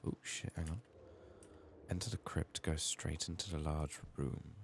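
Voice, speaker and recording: Very deep, creaky soft-spoken ASMR man. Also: steady tone, slight background noise. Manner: murmuring, unhurried, thinking aloud, casually sweary. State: sick, strained voice. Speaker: gaming video host. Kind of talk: soft-spoken and whispered ASMR game playthrough commentary.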